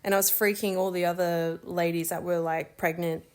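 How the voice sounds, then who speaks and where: A woman's voice: fairly low pitch, flat delivery, moderate pace, darkish tone, clean tone. A young woman, chatting candidly and laughing easily as she shares her birth story. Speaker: new mother sharing birth story. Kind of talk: casual chat.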